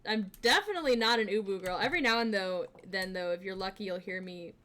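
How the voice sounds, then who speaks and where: A young woman streamer chatting casually with her viewers, bubbly and giggly, with lots of fillers, switching between quiet asides and excited greetings. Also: quick pace. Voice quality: fairly deep voice for a woman. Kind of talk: casual live-stream chat.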